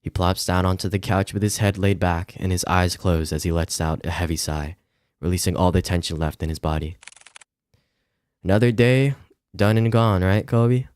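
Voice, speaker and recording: A man's voice: low-pitched, steady tone, moderate pace, a little mellow, clear, resonant tone, no room sound, clean studio sound. A man commentating casually to himself as he streams a visual novel and reads game text aloud.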